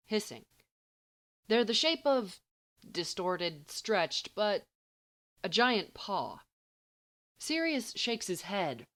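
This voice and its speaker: Low-pitched woman narrating a dramatic story. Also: fairly bright tone. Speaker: audiobook reader of fan fiction. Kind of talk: expressive scripted story narration.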